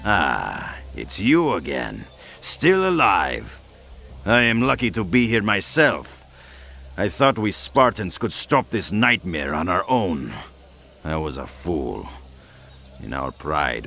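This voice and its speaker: Proud tired spartan soldier, game acting, gravelly growl, big dramatic swings in pitch. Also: male voice, sharp tone, exaggerated voice. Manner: grave, declamatory. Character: proud, humbled battle-weary male Spartan warrior. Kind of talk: scripted fantasy game acting.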